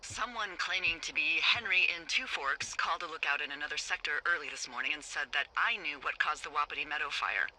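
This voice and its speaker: An American actress playing a sardonic, anxious female fire lookout in a video game, with a blunt, expressive delivery. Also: sits low, fairly quick, hardly any pauses, slight background noise, low-quality recording. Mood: angry, anxious. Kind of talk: naturalistic video game voice acting.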